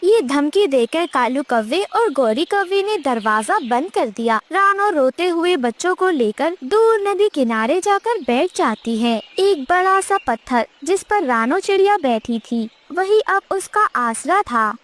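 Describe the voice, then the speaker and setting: A woman's voice: high-pitched, big pitch swings, unhurried, few pauses. A woman reading scripted narration for a children's cartoon story.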